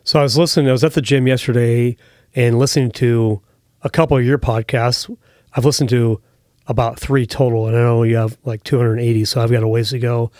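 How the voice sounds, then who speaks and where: Man, podcast host, mid-pitched, friendly chatty manner, dry studio mic. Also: level tone, fairly quick, darkish tone, dry recording. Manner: friendly, chatty, with frequent fillers. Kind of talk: casual interview chat.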